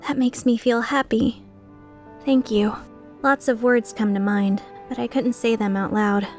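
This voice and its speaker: A woman playing an earnest, timid, tender-hearted young woman in a dubbed visual novel, speaking in a measured way. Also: fairly high pitch, steady tone, soft background music, lightly acted. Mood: touched, shy. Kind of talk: dubbed visual novel acting with inner narration.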